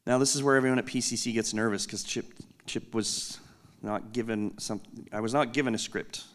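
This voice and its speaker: Guy with a loud voice riffing through a keynote on stage, jokes at his own expense, mid pitch. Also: steady tone, fairly quick. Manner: candid, self-deprecating, joking asides. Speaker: company executive giving a keynote. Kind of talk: unscripted conference keynote. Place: conference hall, on stage.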